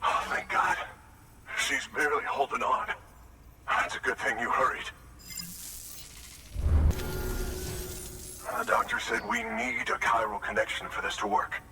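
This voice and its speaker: A man's voice: medium pitch, big pitch swings, normal speed, gravelly, heavy background noise. An actor playing a desperate, grateful expectant father who pleads for help for his pregnant partner in a game cutscene. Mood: urgent. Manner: urgent, shaken pleading. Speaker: mountain prepper awaiting medicine. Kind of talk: video game cutscene acting. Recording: distant mic with score music.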